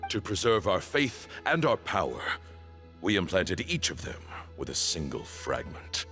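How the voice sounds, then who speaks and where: A stern old temple leader in a fantasy game, real low voice, dramatic swings, formal and reproachful. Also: male voice, very animated, bright, forceful tone, soft background music, full character voice. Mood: grave, recounting. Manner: formal, solemn, deliberate. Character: grave, reproachful older male temple leader. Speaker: voice actor in a fantasy video game. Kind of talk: dramatic scripted game dialogue.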